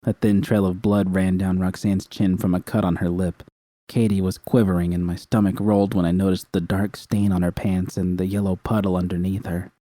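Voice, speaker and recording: A man reading a first-person fan fiction story aloud in a calm, steady way. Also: low-pitched, fairly quick, no echo.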